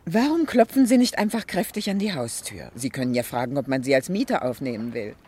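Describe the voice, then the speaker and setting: A wry, teasing confidant in an old radio drama. The voice swings widely in pitch as it prods and doubts, in the theatrical style of the 1960s. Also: sing-song, brisk, darkish tone, slightly rough. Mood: teasing, sceptical. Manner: teasing, sceptical. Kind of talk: 1960s German radio play acting.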